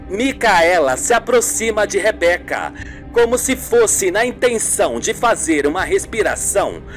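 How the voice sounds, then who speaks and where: Slow-paced Brazilian radio soap narrator. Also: medium pitch.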